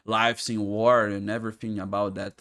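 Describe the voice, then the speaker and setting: A man's voice: on the low side, flat delivery, normal speed, fairly bright tone. A man giving casual, sarcastic and joking reaction commentary as a host, with non-native phrasing.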